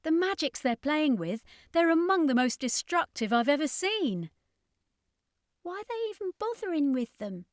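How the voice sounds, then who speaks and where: An earnest young heroine with magic powers played by a woman narrator speaks urgently in a fantasy audiobook. The voice is pitched high and sounds close and very dry. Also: very animated, normal speed, dry recording. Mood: urgent disbelief.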